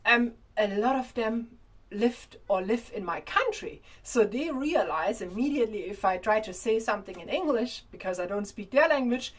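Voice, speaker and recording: A woman with a strong German accent talks straight to her viewers in a casual vlog. Her voice sits a little high, and she chats freely, often stumbling, repeating words and restarting her sentences. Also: animated, moderate pace. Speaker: vlogger. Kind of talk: casual talking-to-camera vlog.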